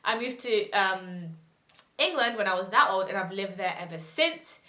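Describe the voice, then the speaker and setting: A woman's voice: medium pitch, lively intonation, moderate pace, bright, forceful tone. Chatty young woman in an echoey room. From Zimbabwe. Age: 20s. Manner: chatty, giggly, self-deprecating. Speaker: YouTuber. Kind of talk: casual get-to-know-me vlog.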